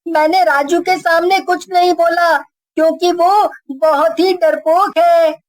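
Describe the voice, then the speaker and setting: A woman's voice: very high-pitched, steady tone, slow pace. A woman voicing an earnest, observant young boy in a cartoon horror story. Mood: earnest, worried. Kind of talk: Hindi cartoon character acting.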